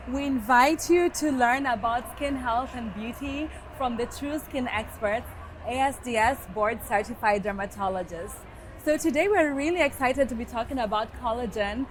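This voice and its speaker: A woman's voice: on the high side, moderate pace, rough recording. A woman from Kenya with a Kenyan accent, an upbeat dermatologist hosting a podcast recorded live at a conference. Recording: live event with background noise.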